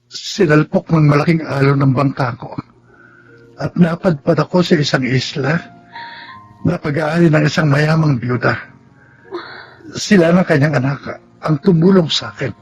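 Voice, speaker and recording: Actor as earnest, higher-voiced returning husband. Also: male voice, moderate pace, long pauses, faint music behind, close to an everyday voice, rough recording. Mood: earnest, reassuring. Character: apologetic, reassuring husband back from a shipwreck. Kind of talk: Tagalog radio drama acting.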